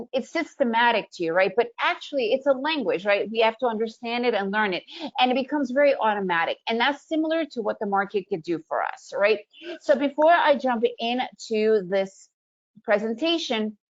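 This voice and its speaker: A woman's voice: on the high side, dull audio. A woman raised in the US, a Russian speaker, giving an upbeat, chatty webinar presentation and often checking in with "right?". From Soviet Union, raised in the US. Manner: upbeat, chatty, leans on "right?" tag questions.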